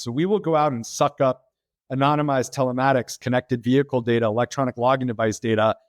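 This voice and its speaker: A man's voice: mid-range voice, no room sound. American guy on a podcast, middle aged, long explanations full of uh's and restarts, dry close sound. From United States. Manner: explains at length with frequent fillers and restarts. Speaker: podcast guest, freight tech founder. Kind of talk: casual interview talk.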